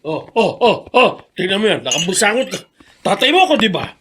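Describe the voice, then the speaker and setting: Shrill, bossy Filipino father. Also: very animated, brisk, fairly bright tone, a little hiss or hum. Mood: angry, demanding. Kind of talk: Filipino radio drama acting.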